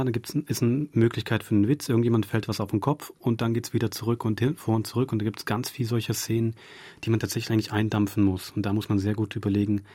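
A man with a lowish, rough-edged voice talks as an interview guest. He explains things conversationally, thinking aloud in long winding sentences. The recording is dry and close. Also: dark tone, dry recording. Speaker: radio drama director, interview guest. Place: dry radio studio.

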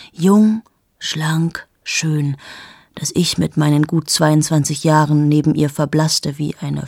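A husky, cynical journalist in her thirties. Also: deep voice, a little mellow, no echo, clean studio sound, heavily acted. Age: 30s. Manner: sardonic, confiding asides to the listener. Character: cynical 38-year-old woman journalist, sharp-tongued, mocking. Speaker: journalist in a radio play monologue. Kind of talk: radio drama monologue.

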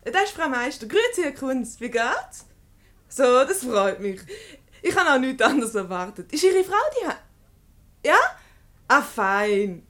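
An actress playing an amused, quick-witted wife in a Swiss German radio comedy, teasing and ironic in manner. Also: female voice, high voice, big pitch swings, fairly bright tone, exaggerated voice. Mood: bright, sociable. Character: amused, quick-witted wife, unruffled by her flirting husband.

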